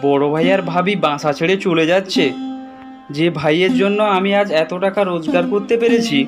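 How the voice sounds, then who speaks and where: A man's voice: high-pitched, fairly quick, natural voice. A man voicing an earnest, grateful younger brother. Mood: firm. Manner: earnest, firm. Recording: loud background music.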